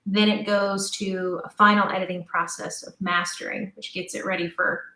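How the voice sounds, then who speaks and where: A woman's voice: mid-range voice, little pitch movement, moderate pace, pushed and bright, reverberant, rough recording. A woman speaking informally as an interview guest, thinking aloud as she explains her creative work in a chatty, conversational way. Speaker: audio drama writer and director, interview guest.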